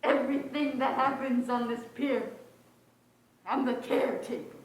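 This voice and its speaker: A woman's voice: high voice, steady tone, measured pace. A woman playing a solemn pier watchman and caretaker in a stage play. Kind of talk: stage acting. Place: indoor stage, distant microphone. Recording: very echoey stage, distant microphone.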